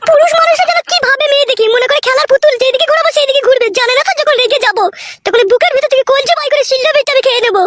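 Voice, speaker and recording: A short-tempered cartoon wife scolds. The voice is piercingly high and extremely quick, curt and threatening. The track is clearly noisy, with clicks. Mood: furious, threatening. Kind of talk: exaggerated comic cartoon dialogue.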